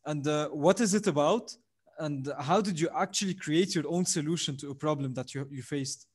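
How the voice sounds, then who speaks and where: A man's voice: fairly high pitch, moderate pace, husky. A man hosting a podcast in a casual, enthusiastic and encouraging interview style. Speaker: podcast host.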